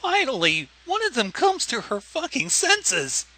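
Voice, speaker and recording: A man co-hosting a livestream, throwing out loud, exasperated, sweary commentary on a story being read. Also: very high-pitched, huge pitch swings, unhurried, low-quality recording. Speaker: livestream co-host.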